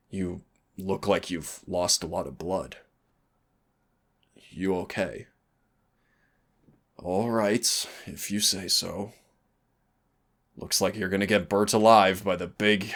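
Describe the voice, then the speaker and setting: Male actor as fire demon, very low pitch, slow unhurried delivery, teasing and devoted, intimate roleplay audio, husky. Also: a bit bright, heavily acted. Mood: playful, teasing. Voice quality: very deep, husky, gravelly. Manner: intimate, teasing, addresses listener as darling. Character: teasing, lovestruck winged fire demon, tender mentor to a human.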